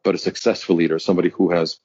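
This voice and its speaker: A man's voice: low voice, little pitch movement, rapid-fire, a little mellow, breathy edge, no room sound. A man in his fifties from Germany, speaking, who tells his story as a guest executive coach in a reflective, articulate way. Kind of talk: interview conversation. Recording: dull, dry sound.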